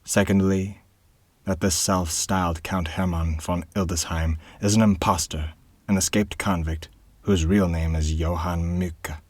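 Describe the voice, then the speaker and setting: A man voicing a shrewd dwarf guardian in a storybook reading, calm, firm and menacing. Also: low-pitched, measured pace, no echo. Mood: formal.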